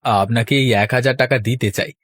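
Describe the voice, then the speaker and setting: A man's voice: medium pitch, fairly even intonation, fairly quick, a bit bright, no room sound, close to an everyday voice. Male actor as greedy village man, sly, ingratiating, insinuating, wheedling cartoon voice, dry recording. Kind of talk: cartoon voice acting.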